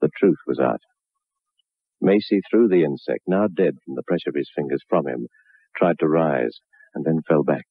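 Deep, husky, sardonic horror storyteller. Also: male voice, no echo, exaggerated voice. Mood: suspenseful, sardonic. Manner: measured, suspenseful, drily sardonic. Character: sardonic male storyteller of macabre tales. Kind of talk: scripted dramatic horror narration, 1960s radio. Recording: narrow-band vintage 1960s radio recording.

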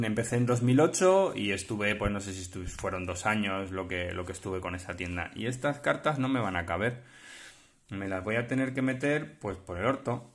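A man with a Spain Spanish accent chatting off the cuff as a collector explaining things, halting and rambling as he checks in with listeners. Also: fairly low pitch, a bit bright. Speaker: vlogger and card collector. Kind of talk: casual off-the-cuff explanatory chat. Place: indoors at a table, handling cards.

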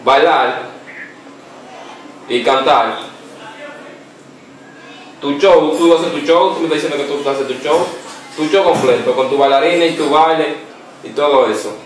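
Young man making a prank call. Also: on the high side. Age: young adult. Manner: halting, repeats himself, stifles laughs. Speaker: prank caller. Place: small living room, seated on a couch. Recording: echoey room, far from the mic.

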